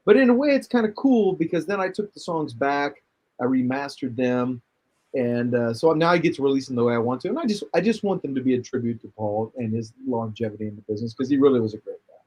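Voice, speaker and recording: A husky-voiced man talks through a long, rambling story in casual conversation. He restarts his sentences often and gets animated as he goes. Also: medium pitch, fast. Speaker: guest musician. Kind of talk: casual chat.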